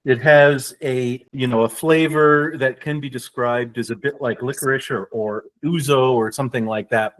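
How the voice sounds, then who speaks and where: A man's voice: medium pitch, fairly even intonation, normal speed, few pauses, a bit bright, poor audio. A man co-hosting a podcast, talking through a cocktail recipe step by step as he mixes it. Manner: descriptive, explanatory, with fillers. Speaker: podcast co-host, drink mixer. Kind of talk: casual podcast chat, explaining a cocktail.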